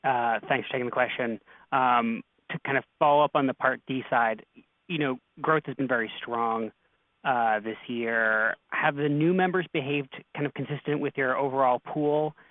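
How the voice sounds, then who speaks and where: A man's voice: mid-range voice, fairly even intonation, moderate pace, no room sound. Man, financial analyst, earnings call questioning, dry sound, narrow telephone band. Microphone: phone. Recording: thin, narrow phone-line sound.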